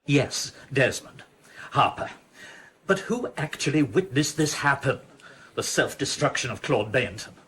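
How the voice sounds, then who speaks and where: A man playing an anxious, fretful police chief in a radio crime drama, raspy and halting. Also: mid-range voice, expressive, fairly bright tone, slight background noise. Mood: anxious, doubtful. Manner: slow, halting.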